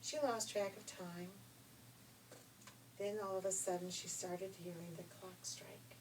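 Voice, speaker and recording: An older woman telling a fairy tale informally, in a folksy, expressive, chatty way. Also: fairly low pitch, a little slow. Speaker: storyteller. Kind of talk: informal read-aloud fairy tale storytelling with asides. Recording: distant mic with faint background noise.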